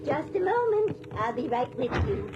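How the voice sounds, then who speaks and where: A woman's voice: high voice, big pitch swings, moderate pace, dull audio, very noisy. An actress playing a sweet, chatty, forgetful elderly village lady in a radio drama, rambling cheerfully. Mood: welcoming, fussing. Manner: rambling, fluttery, cheerful hostess.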